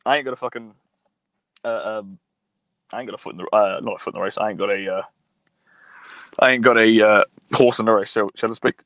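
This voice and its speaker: A man's voice: lowish voice, fairly even intonation, brisk, pauses often, fairly bright tone, dry recording, poor audio. Blunt profane man streaming. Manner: blunt, profane, rambling with many fillers. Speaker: livestream host reading viewer comments. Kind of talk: casual profane live-stream chat. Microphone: mobile phone.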